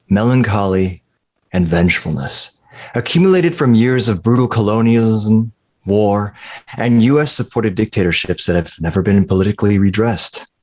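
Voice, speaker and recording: A man delivers scripted narration as a screenplay reader. His voice is fairly low, with a very husky, unclear grain. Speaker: stage-direction reader.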